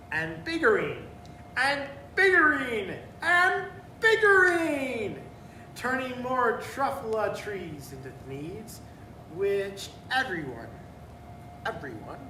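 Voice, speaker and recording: A man's voice: very animated, takes it slowly, pushed and bright, exaggerated voice. Guy doing a greedy blustering businessman from a storybook, boastful, echoey noisy room. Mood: angry, defiant. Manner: emphatic, boastful, repeating words for force. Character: greedy, defiant businessman, self-justifying and blustering. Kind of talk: storybook read-aloud in rhyme. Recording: roomy, distant mic, noisy with rumble.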